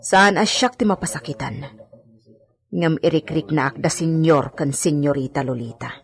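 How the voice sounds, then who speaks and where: Fretful Filipino household servant. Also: female voice, low-pitched, moderate pace. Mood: hushed, cautioning. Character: anxious, motherly female household servant. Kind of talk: radio drama acting.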